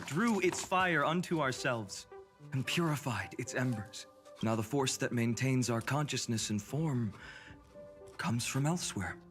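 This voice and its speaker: An earnest young male hero from a story-driven video game, with a middle-pitched voice. He sounds reassuring and a little wistful, like a loyal companion, with music clearly playing behind him. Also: a bit bright. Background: game background music.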